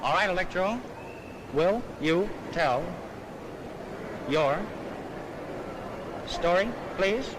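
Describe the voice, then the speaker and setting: A man with a fairly high voice works as a stage demonstrator in 1930s showman style. He presents to the public in patter. The old film sound is plainly noisy. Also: lively intonation, drawn-out words, pauses often.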